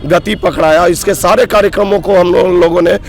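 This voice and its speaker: A hoarse man in his thirties, a block coordinator at a roadside protest, speaking earnestly and with rising grievance in a street interview. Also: high-pitched, fairly even intonation, fairly quick. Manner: aggrieved, earnest, builds to emphatic outbursts. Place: roadside protest sit-in outdoors.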